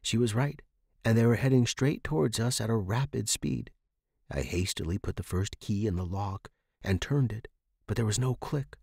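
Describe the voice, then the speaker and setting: A man's voice: fairly low pitch, normal speed, creaky, a little mellow, slightly rough, dry recording. Man narrating suspense fiction, dry close sound. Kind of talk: scripted narration of a suspense story.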